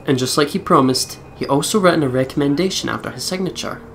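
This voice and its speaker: A man's voice: on the high side, fast, gravelly, a bit bright, slight background noise. A man hosting a let's play, reading visual novel narration aloud expressively with casual commentary.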